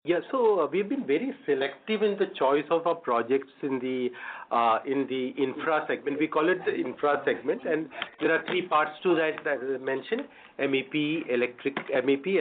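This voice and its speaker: A man speaking as a company executive answering questions on an earnings call, halting and often repeating words as he builds his answers. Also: mid-range voice, a little hiss or hum. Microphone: phone or conference line. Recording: phone-line conference call audio.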